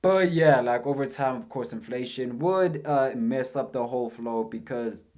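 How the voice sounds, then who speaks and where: A man at an ordinary middle pitch reacts casually to a video. His talk is loose, slangy and profane, and he thinks aloud as he goes. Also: normal speed, a little mellow.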